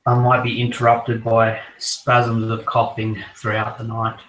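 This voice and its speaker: Croaky man with a cold, roomy sound. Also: mid-range voice, a little slow, distant mic. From Australia. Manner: relaxed, rambling collector talk. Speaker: co-host. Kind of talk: casual chat.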